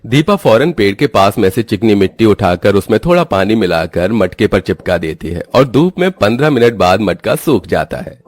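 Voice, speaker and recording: Low-voiced man narrating a cartoon story. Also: very animated, moderate pace, few pauses, darkish tone, no room sound.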